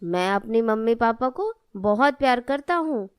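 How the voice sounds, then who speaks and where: A woman's voice: on the high side, lively intonation, unhurried, clear, resonant tone, no echo, clean studio sound. A woman voicing an innocent, earnest little grandson in a cartoon moral story. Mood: curious, earnest. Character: innocent, devoted young grandson, a little boy.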